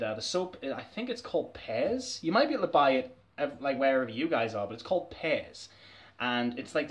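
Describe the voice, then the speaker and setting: Chatty man reviewing a fragrance. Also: mid-range voice. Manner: chatty, candid, laughs often. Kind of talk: casual review vlog. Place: small dim bedroom, indoors.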